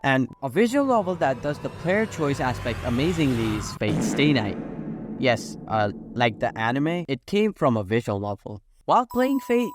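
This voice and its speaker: A young man narrating a scripted video essay in an informal, opinionated way, sometimes swearing. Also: medium pitch. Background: prominent background music.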